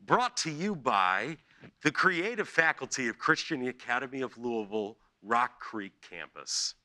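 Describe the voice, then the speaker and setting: A man narrates a scripted radio play in a theatrical storytelling style. His middle-pitched voice swoops expressively, landing with deliberate dramatic emphasis. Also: very animated, bright, forceful tone.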